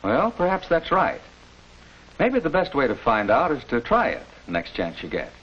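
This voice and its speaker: A middle-aged actor playing a calm, encouraging father with an American accent, measured and reassuring in a 1940s educational film. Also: mid-range voice, breathy edge. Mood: interested, encouraging. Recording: dull lo-fi 1940s film soundtrack.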